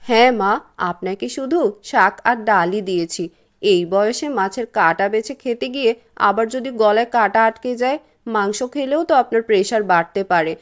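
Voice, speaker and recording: A woman's voice: on the high side, normal speed, natural voice. A woman voicing a scornful, curt daughter-in-law who scolds sharply in a cartoon drama. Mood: snide. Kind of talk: cartoon moral-story dialogue.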